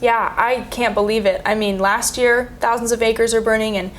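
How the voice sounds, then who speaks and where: Slightly high-voiced woman news anchor. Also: steady tone, normal speed, slight background noise. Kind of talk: studio anchoring.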